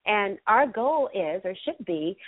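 A woman hosting a live internet radio talk show, bubbly and effusive, her voice still a little hoarse after a virus. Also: medium pitch, big pitch swings, slow pace, dry recording. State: slightly hoarse, recovering from a virus. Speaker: host. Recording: tinny phone-line sound.

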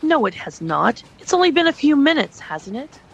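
An actress playing a prickly, sarcastic wife in a radio drama, argumentative and mocking. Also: female voice, sits high, very animated, moderate pace, gravelly, muffled recording, full character voice. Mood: irritated, sarcastic. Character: prickly, sarcastic wife bickering with her husband.

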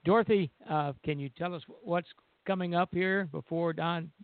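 An older man co-hosting a radio show, chatting casually in a halting way and repeating himself. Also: a little high, no echo. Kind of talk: casual chat. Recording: dry, narrow phone line.

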